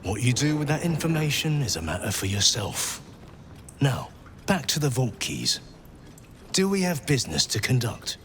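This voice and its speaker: An actor voicing a pompous male guild bursar in a game, speaking in a formal, measured and guarded way. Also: mid-range voice, sing-song, a bit bright. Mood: curt. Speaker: game character. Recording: game audio with ambient noise.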